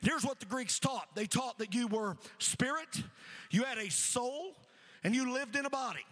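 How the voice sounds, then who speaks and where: A middle-aged man preaching an energetic sermon, repeating phrases rhythmically and calling on the congregation to answer. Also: very high-pitched, moderate pace, long reverb tail. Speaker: pastor. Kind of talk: energetic sermon with call-and-response.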